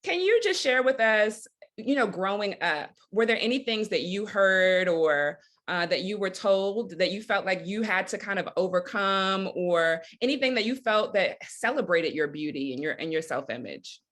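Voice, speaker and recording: A woman hosts a panel discussion in a conversational style. Her voice is bright and punchy at a middle pitch. She sounds affirming and encouraging as she addresses the group. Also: animated, moderate pace, hardly any pauses. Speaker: panel moderator.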